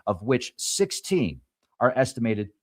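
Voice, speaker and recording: A man's voice: medium pitch, big pitch swings, a little slow, darkish tone. Man reading news with offhand asides. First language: not English. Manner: reads articles aloud, stumbles on names, adds asides. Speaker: show host. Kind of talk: reading news headlines with commentary.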